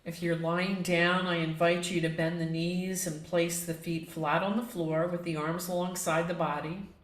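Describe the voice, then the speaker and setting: A woman leading a guided yoga relaxation as the instructor, speaking in a gentle, measured, inviting way. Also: on the low side, level tone. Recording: echoey, reverberant room.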